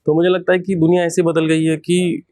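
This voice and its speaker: A man's voice: a little high, level tone, brisk, warm tone, no echo. A man, earnestly telling his own story as an interview guest, mixing in English words. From rural Maharashtra, India. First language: Marathi. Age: 30s.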